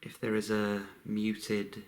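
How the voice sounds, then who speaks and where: Slow, low-voiced male meditation guide. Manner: calm, unhurried, long pauses between phrases.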